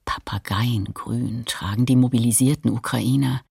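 An actor playing a watchful wartime city chronicler, giving hushed, detached eyewitness notes in a documentary radio play. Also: male voice, mid-range voice, fairly even intonation, moderate pace, gravelly, breathy edge, dry recording, clean studio sound, heavily acted. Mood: detached, observant.

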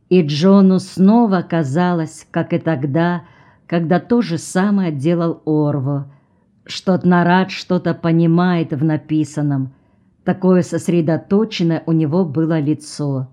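A woman reading a literary novel aloud as an audiobook, calm and even, lifting her voice a little for the characters' speech. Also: lowish voice. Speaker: audiobook reader.

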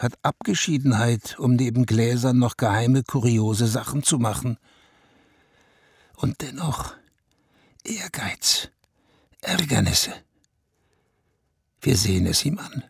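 An actor playing a haughty, world-weary ruler in a German radio play, pondering loftily in clipped phrases with a husky, gravelly voice. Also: male voice, mid-range voice, no echo, clean studio sound. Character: haughty, world-weary male Prussian ruler, speaking as royal we.

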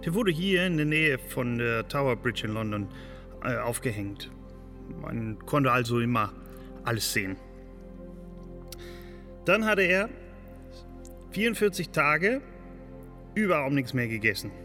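A man's voice: mid-range voice, lively intonation, unhurried, long pauses, bright, forceful tone. An actor playing an earnest, fascinated man recounting events in a spontaneous documentary style, often searching for words. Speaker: storyteller in a radio play. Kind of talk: spontaneous-sounding documentary recollection. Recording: long reverberant hall sound. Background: music.

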